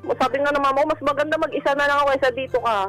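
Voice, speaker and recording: Female Filipino caller, high-pitched, exasperated casual storytelling on a radio show, music bed underneath. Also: steady tone, very fast.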